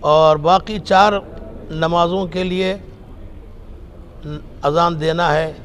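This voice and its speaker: A man makes a formal public announcement as a religious leader, in measured, halting short phrases. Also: on the high side, steady tone, slight background noise.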